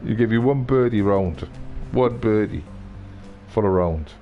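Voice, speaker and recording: A man's voice: on the low side, lively intonation, measured pace, highs cut off, faint music behind. A man live-streaming a video game with casual commentary, grumbling, swearing and repeating himself.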